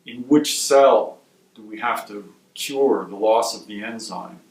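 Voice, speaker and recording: Man, from Wisconsin, institute director, measured explainer, lowish voice, roomy echo. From Wisconsin, USA. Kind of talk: interview answers. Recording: roomy sound from a distant mic.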